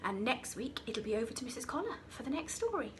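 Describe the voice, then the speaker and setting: Lady teacher reading a kids story, high voice, really expressive, slight background noise. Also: fast. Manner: expressive, dramatic emphasis. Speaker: infant school teacher reading to her class. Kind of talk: animated children's story reading.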